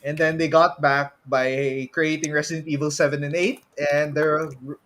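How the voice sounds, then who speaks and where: Male podcast host, slightly high voice, Filipino accent, conversational, drops Tagalog particles into English. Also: flat delivery, normal speed, a bit bright. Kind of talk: casual podcast chat.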